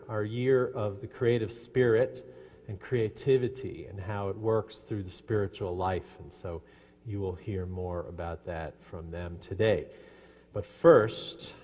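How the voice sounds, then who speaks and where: Casting a male minister, mid-pitched and unhurried, addressing a congregation in a big echoing sanctuary. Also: darkish tone, a little hiss or hum. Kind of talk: unhurried church welcome to a congregation. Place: large indoor church sanctuary. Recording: echoey church hall with congregation.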